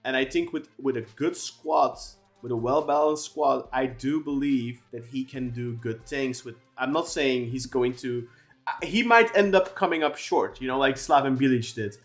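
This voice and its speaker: Podcast co-host, male, mid-pitched voice, long rambling takes, faint music underneath. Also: moderate pace. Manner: talks at length, many restarts and fillers. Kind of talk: casual football podcast chat.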